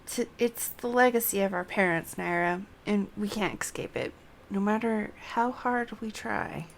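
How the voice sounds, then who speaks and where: A woman's voice: mid-range voice, expressive, measured pace, gravelly, a little hiss or hum. A woman reading out a somber, earnest game character's quest dialogue. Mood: grave, bitter. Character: somber, bitter game character reflecting on blood and legacy.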